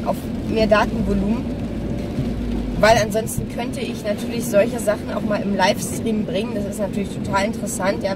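A woman talks off the cuff as she drives, in a frank, rambling way. Her medium-pitched voice is picked up a little away from the mic inside a car. Loud engine and road noise runs under everything. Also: far from the mic. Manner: rambling, frank, thinking aloud. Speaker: vlogger. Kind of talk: casual chat to camera while driving. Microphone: camera on the dashboard.

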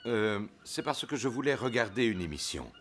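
A man playing a bumbling, good-natured and lazy daddy pig in an animated children's cartoon, with exaggerated, expressive delivery. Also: mid-range voice, normal speed, heavy background noise, natural voice. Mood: good-natured, sheepish.

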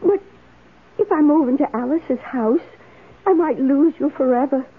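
An actress in a radio drama playing a wistful, lonely, devoted woman with a hesitant, emotive, drawn-out delivery. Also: high-pitched. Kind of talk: 1970s radio drama acting. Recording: tinny, hissy old radio broadcast.

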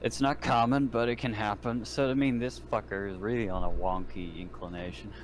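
A man's voice: on the low side, fairly even intonation, fairly quick. A man playing an online roleplaying game, casually asking questions and explaining details, with some swearing. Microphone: online voice call. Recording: faint background noise.

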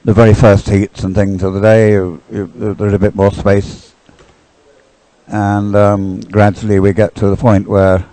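A man giving live, unscripted sports commentary in a halting, thoughtful way. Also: lowish voice, flat delivery, dark tone, no room sound, poor audio.

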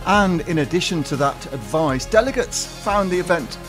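A man's voice: high-pitched, animated, normal speed, over music. A man reading a scripted news voice-over as a reporter.

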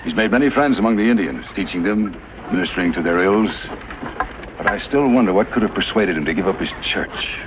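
Kindly middle-aged minister, deep voice, thoughtful, noisy 1950s radio drama. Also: male voice, animated. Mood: concerned. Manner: genial, measured. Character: kindly, thoughtful reverend travelling west with his grown daughter. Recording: muffled, noisy old radio recording.

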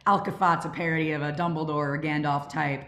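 A woman's voice: low-pitched, steady tone, quick pace, fairly bright tone, roomy sound, a little hiss or hum. A young woman casually hosting a question-and-answer session over a video call. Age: young adult. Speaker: Q&A moderator from a student audio drama club. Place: indoors at home on a group video call. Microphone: video call microphone.

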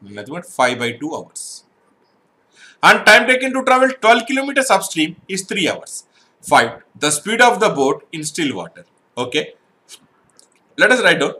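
A man's voice: high-pitched, very animated, normal speed, pauses often, bright, forceful tone. A middle-aged man teaching an exam-prep math lesson online, explaining step by step and often checking "right?" with his students.

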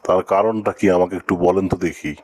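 Man voicing a low-pitched, folksy cartoon fox. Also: fast talker, a little mellow, close to an everyday voice. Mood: curious. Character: sly, well-fed male fox, curious and chummy. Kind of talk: cartoon moral-story dialogue.

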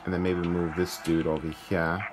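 A man's voice: sits low, flat delivery, normal speed. A man hosting a gaming video, commentating casually and thinking aloud in an informal way. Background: game music.

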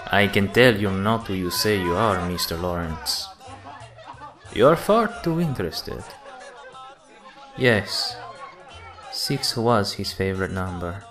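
A man playing a smooth, sociable gentleman gambler with a courteous, theatrical manner in an amateur radio play. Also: low-pitched, expressive, slow, long pauses, heavily acted. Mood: knowing, nostalgic. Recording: music and crowd chatter behind.

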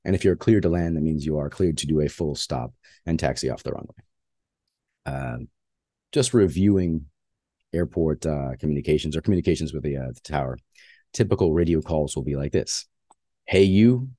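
A man's voice: extremely low pitch, lively intonation, normal speed, dark tone, dry recording, studio quality. A man who is a flight instructor, giving a plainspoken slide lecture on flying with frequent filler uhs.

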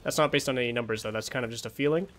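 A man's voice: medium pitch, rapid-fire, a bit bright, slight background noise. Young man casually breaking down a match. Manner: analytical, thinking aloud with many fillers. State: voice getting tired after hours of streaming. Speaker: esports coach reviewing a match. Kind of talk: casual match review commentary.

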